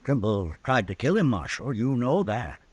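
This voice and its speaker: A man's voice: mid-range voice, very animated, normal speed, breathy edge, no room sound. An actor playing an evasive, self-righteous crooked businessman in a 1950s radio western.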